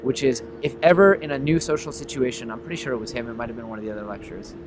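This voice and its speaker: A man with an average-pitched voice talks in a loose, conversational podcast-host style. He tells stories in an animated way and acts out sample greetings. Clear outdoor traffic noise sits behind his voice. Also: rapid-fire. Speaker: podcast host and memory expert. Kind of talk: casual conversational discussion.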